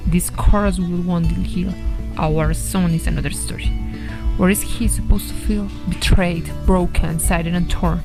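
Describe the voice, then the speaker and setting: Female poetry reader, even intonation, plenty of vocal fry, measured delivery with guitar behind. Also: on the low side. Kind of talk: recited poem, measured reading. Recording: played-back clip with guitar music. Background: guitar music.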